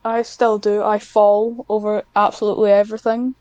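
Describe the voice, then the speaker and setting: A woman's voice: medium pitch, little pitch movement, normal speed, darkish tone, smooth, no room sound. A nineteen-year-old woman chatting casually, rambling and self-deprecating as she goes. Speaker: podcast guest, ASMR script writer. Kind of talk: casual chat.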